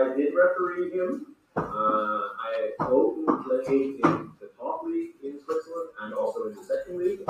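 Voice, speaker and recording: A man's voice: mid-range voice, background noise. Hesitant man on a distant echoey call. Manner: hesitant, many fillers and restarts. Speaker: hockey referee guest. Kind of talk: casual interview answers.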